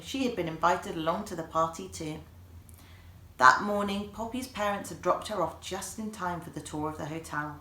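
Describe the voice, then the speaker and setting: A woman reading a children's story aloud in an animated way, like an author presenting the book. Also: fairly low pitch. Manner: animated, acts out dialogue. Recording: echoey room.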